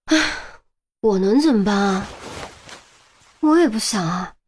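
A woman's voice: mid-range voice, moderate pace, a little mellow, slight background noise, lightly acted. A woman voicing a timid, flustered young man in an audiobook, speaking weakly and hesitantly, as if pleading. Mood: helpless, meek. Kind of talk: audiobook character dialogue.

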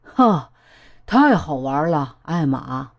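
A woman voicing a startled but amused storybook tiger in a drawn-out, expressive manner. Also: low-pitched, vocal fry, voice pushed down, full character voice. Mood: surprised, amused.

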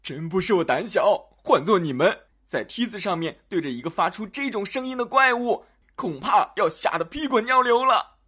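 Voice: medium pitch, animated, normal speed, bright, forceful tone, husky, voice pushed up. A storyteller voicing a boastful burly warrior in a fairy tale, emphatic and self-justifying. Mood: shaken excuses.

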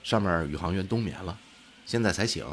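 A man's voice: low-pitched, a little fast, fairly bright tone, slight background noise. A man playing a laid-back, curious night-shift astronomy researcher in an audio drama, speaking casually and a little hesitantly.

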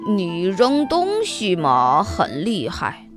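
A woman voicing a strong, scornful, slow-witted male giant in a children's fairy tale, with drawn-out, challenging speech. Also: on the low side, huge pitch swings, music in the background, pitched below the performer's own voice, full character voice. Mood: testing.

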